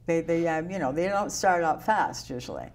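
An older woman hosting a studio interview, talking slowly with a low voice and halting restarts, on a lapel mic. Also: lively intonation, a little mellow. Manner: slow, repeats words, halting restarts. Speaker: talk show host. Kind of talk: casual interview chat.

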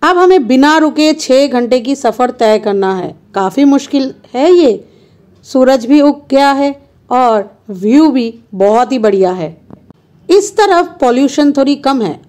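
Woman vlogger, playful chatty narration at an unhurried pace; slightly high voice. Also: a little mellow. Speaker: travel vlogger. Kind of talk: casual travel vlog narration. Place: car and roadside stops.

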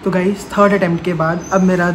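A man's voice: high voice, little pitch movement, fairly quick. A young male vlogger chatting casually to his viewers in an informal, slangy way. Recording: clear background noise, traffic hum.

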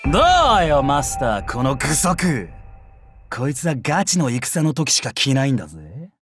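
An actor playing a brash, bloodthirsty young male samurai in a mobile game, with rough, swaggering speech. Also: mid-range voice, big pitch swings, normal speed, music in the background, close to an everyday voice. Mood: casual, cocky. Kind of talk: Japanese mobile game character voice lines.

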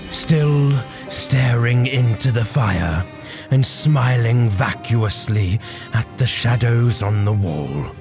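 A man playing a grave, impassioned warrior orator in a theatrical, measured audio drama monologue over music. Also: medium pitch. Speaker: voice actor.

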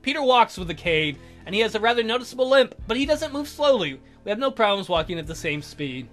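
A woman's voice: on the high side, big pitch swings, quick pace. A woman narrating a visual novel aloud, stumbling now and then and correcting herself. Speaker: let's player reading a visual novel aloud. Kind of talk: story narration read aloud with character voices. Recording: music behind the voice. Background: guitar game music.

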